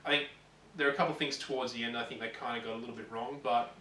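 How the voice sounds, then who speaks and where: A man co-hosting a gaming show, chatting casually and giving rambling, animated opinions. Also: medium pitch, racing. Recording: roomy echo, distant mic, faint noise.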